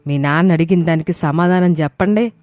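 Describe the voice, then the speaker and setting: A woman's voice: fairly low pitch, a little fast, a little mellow, natural voice. A woman voicing an older village mother in a moral story, concerned and bluntly questioning with rustic speech. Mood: concerned, chiding. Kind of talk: acted moral-story dialogue.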